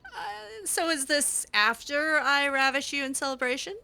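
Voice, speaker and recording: A woman improvising in-character roleplay over a video game, speaking in a halting, stammering way. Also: high-pitched, animated, creaky, bright, forceful tone, dry recording. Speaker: roleplay gamer.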